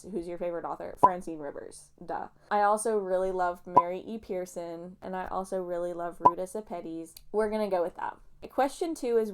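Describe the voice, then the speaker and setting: A woman's voice: on the low side, clean tone. A young woman, chatting casually about books. She sounds a little nasal and sniffly from allergies and rambles off on playful tangents. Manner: chatty, self-mocking, goes off on tangents. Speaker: booktuber. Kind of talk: casual rambling book vlog.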